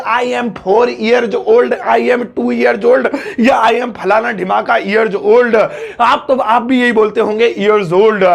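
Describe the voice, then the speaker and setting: A man's voice: very high voice, vocal fry. A young man teaching English in Hindi, joking, teasing and laughing often. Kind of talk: playful Hindi English lesson.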